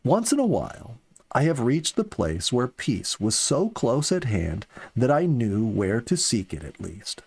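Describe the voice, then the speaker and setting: A man reading the part of an earnest, philosophical young soldier in an audiobook, with slow, deliberate phrasing. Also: mid-range voice, big pitch swings, gravelly, a little mellow, breathy edge, exaggerated voice. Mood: yearning, reflective. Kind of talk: audiobook character dialogue.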